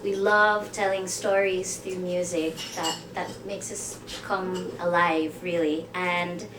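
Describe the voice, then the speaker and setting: A woman's voice: lowish voice, flat delivery, slow pace, background noise. A woman from the Philippines, a native Filipino speaker, chats casually and light-heartedly to the audience as a singer between songs at a live indoor show. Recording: echoey live tavern performance, guitar music behind.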